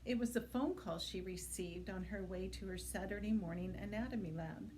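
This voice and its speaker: A woman reads a suspense story aloud as a library narrator. Her voice sits at a middle pitch with a dark, relaxed tone. There is faint noise behind her. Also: normal speed. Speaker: library reader introducing and reading a book aloud. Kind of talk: audiobook-style reading of a thriller novel.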